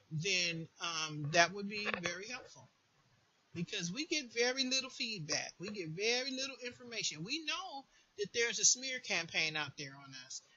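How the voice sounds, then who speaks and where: A woman's voice: medium pitch, pushed and bright, highs cut off. A woman giving informal spoken advice as a host, with an emphatic manner that repeats words for stress. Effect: voice distortion late in the recording. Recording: faint background noise.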